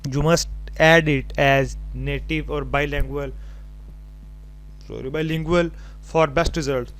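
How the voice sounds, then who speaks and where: A man presenting an unscripted step-by-step tutorial, haltingly thinking aloud as he types. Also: fairly high pitch, steady tone, fairly bright tone, poor audio. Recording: home recording with clear background noise.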